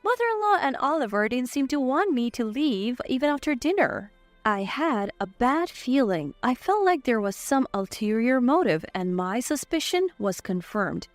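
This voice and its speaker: Woman narrator reading a first-person story, high voice, close dry sound, faint music. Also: big pitch swings, moderate pace, no echo.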